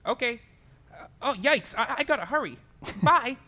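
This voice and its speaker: A woman playing an excitable, cheeky teenage younger brother in a radio drama, eager and teasing. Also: high voice, normal speed, exaggerated voice. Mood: excited, teasing.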